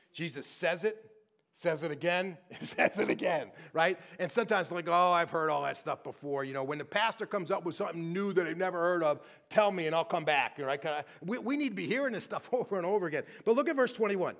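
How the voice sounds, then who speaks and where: A middle-aged man preaching a sermon on a church stage, talking in a loose, animated way with many restarts and tag questions. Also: fairly high pitch. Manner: conversational, animated, frequent restarts and "right?". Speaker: pastor.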